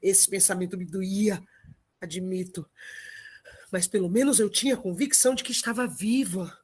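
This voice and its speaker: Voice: medium pitch, moderate pace, slightly rough, natural voice. A performer with a Brazilian accent playing a valiant, devoted Trojan hero and father in a radio drama. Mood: tender, remorseful.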